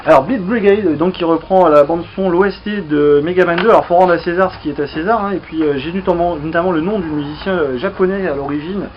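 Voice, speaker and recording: Man, radio host, fast chatty delivery, noisy recording. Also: fairly high pitch, highs cut off. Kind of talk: casual radio chat.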